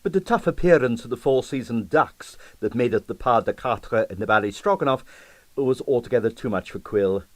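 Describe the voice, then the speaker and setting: A man's voice: medium pitch, expressive, fairly quick, dry recording. A man reading a comic novel as an audiobook narrator, with wry, theatrical delivery. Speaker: audiobook reader.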